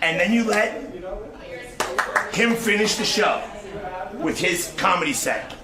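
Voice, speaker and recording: A man's voice: sits high, expressive, slow pace. A man in a heated casual argument, insistent and pleading, repeating himself. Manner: insistent, repetitive, pleading, says "bro" and "dude". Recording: echoey room, loud crowd noise. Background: loud crowd.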